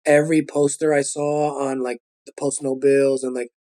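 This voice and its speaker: A man in his forties with a thick Brooklyn accent, telling stories in a casual, animated, slangy way as an interview guest. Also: mid-range voice, normal speed. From Brooklyn, New York. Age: 40s. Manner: animated street-style storytelling, slangy. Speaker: guest rapper.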